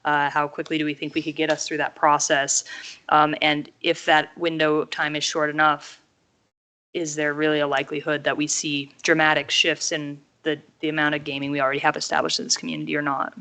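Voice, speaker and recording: Woman councilor, low voice, smooth clear tone, kinda halting and thoughtful. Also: level tone, bright, forceful tone. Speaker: city councilor. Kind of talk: council discussion. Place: council chamber.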